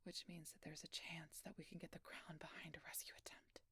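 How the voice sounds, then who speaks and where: A woman playing a frightened, guilt-ridden changeling fugitive in an intimate fantasy audio roleplay, with a halting, emotional delivery. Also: low voice, level tone, bright, forceful tone, breathy edge, no echo, in a whisper, heavily acted. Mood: urgent, dawning hope.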